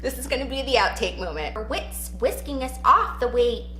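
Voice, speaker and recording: A woman's voice: a little high, animated, normal speed. A woman online book reader chatting casually to her viewers. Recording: roomy echo with background music.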